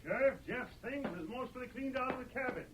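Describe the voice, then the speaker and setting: An actor playing a suspicious, forceful frontier posse man with an American accent and rustic cowboy grammar in a radio western. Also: extremely high pitch, a little fast. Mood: alert. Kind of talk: 1940s radio western acting. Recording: muffled, hissy old radio recording.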